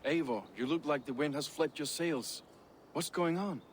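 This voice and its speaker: A man's voice: a little high, moderate pace, slight background noise, lightly acted. Actor as proud, dutiful Viking man, earnest. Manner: earnest, formal, slightly defensive. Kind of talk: dramatic game dialogue acting.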